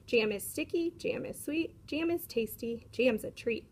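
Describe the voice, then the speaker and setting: A woman's voice: a little high, a little slow. A woman voicing a stubborn, curious young girl in a storybook, singsong and matter-of-fact. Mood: singsong, playful.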